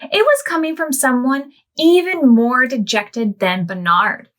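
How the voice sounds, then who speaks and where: A woman librarian reading a picture book aloud to preschool children in a slow, sing-song storytime voice. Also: high voice, fairly bright tone.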